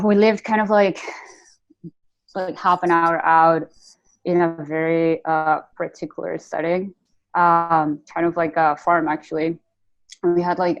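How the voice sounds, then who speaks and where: Woman, Venezuelan accent, very deep voice, dull lo-fi sound, rambling casual interview talk. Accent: Venezuelan Spanish. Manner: rambling, heavy on "like" fillers. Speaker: guest artist.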